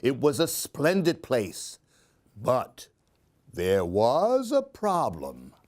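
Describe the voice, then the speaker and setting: A man's voice: medium pitch, wildly swooping pitch, drawn-out words, darkish tone. A man hosting a story time, reading Halloween picture books aloud in a theatrical way, with questions and asides for young listeners. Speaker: storyteller and host of a children's book show. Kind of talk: expressive read-aloud of children's Halloween stories.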